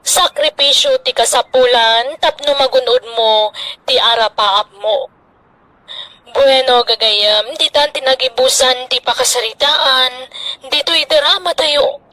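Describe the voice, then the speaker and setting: A woman announces a scripted radio drama with an Ilocano accent. Her voice is very high and bright, with a forceful edge. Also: unhurried, rough recording.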